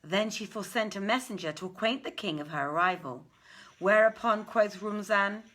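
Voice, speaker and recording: A woman reading an old literary tale aloud in a theatrical, measured and deliberate manner. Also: mid-range voice, a bit bright. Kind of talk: dramatic literary story reading.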